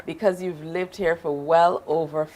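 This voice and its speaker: A woman's voice: low voice, steady tone, normal speed, a crowd in the background. A woman hosting a morning talk-show interview, playful and teasing with her guests.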